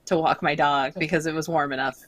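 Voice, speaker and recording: A woman chatting casually with friends, giggly and animated as she tells stories. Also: deep voice, fairly quick, a loud crowd. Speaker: cast member. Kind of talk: casual chat.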